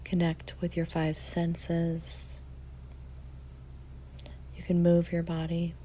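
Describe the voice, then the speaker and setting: A woman leading a guided meditation in a soft, soothing way, leaving long pauses between phrases. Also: fairly low pitch, monotone, slight background noise, low-quality recording.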